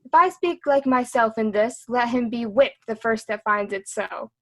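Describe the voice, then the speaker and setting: A woman's voice: a little high, moderate pace, a bit bright. A woman playing a witty, cheeky court jester in a Shakespearean reading, with a mocking, sing-song delivery.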